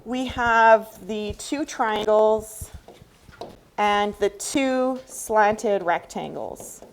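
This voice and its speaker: A woman's voice: mid-range voice, extremely slow, slight background noise, rough recording. A woman teaching a math class, explaining step by step and often checking understanding with questions.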